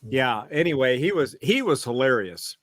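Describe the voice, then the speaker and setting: Male co-host, mid pitch, casual and somewhat halting, dry close sound. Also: sing-song, a bit bright, no room sound. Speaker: podcast co-host. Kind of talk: casual chat.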